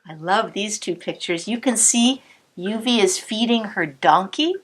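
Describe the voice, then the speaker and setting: A woman cantor reading a picture book aloud to children, expressive, pausing to point out the pictures. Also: mid-range voice, slightly rough. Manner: warm, expressive storytelling with asides to the listener.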